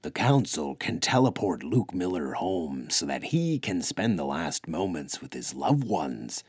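A man's voice: sits low, sing-song, a little slow, a little mellow, slightly rough, no echo, exaggerated voice. A man voicing a tall Sasquatch elder who speaks bluntly and definitively.

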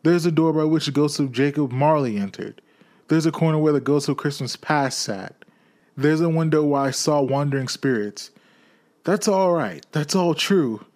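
A man voicing an overjoyed old miser who exclaims in a raised, excited voice, switching between pleading and giddy delight. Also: fairly low pitch, normal speed, lightly acted.

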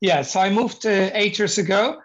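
Halting Polish-accented man, lo-fi sound. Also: high voice. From Poland. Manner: halting, searches for words, laughs often. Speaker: guest, painting contractor. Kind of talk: interview conversation.

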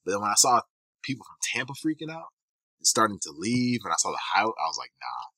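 Bright-voiced man hosting a podcast. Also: fairly low pitch, huge pitch swings, fast, no echo, low-quality recording. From Kenya. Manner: enthusiastic, loose, many restarts and fillers. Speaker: podcast host, physical therapist. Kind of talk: casual podcast chat.

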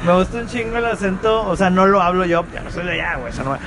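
A man's voice: fast talker, a crowd in the background. A man from Monterrey with a northern Mexican accent, hosting a casual podcast with friends. He is tipsy, jokes around and swears freely. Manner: loose and joking, swears freely, mimics friends in high squeaky voices. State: tipsy from drinking. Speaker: podcast host. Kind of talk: casual chat among friends.

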